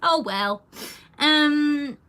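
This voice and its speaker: A woman's voice: sits high, animated, extremely slow, bright, forceful tone. A young adult woman, a singer, chatting casually through a livestream Q&A with lots of fillers and a rambling manner. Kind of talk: casual livestream Q&A, reading viewer comments.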